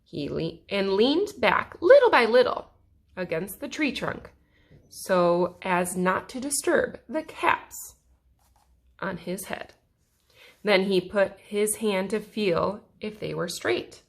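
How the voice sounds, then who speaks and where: A woman reading a children's story aloud expressively, with deliberate pauses and dramatic emphasis. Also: mid-range voice, sing-song, creaky. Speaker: story reader.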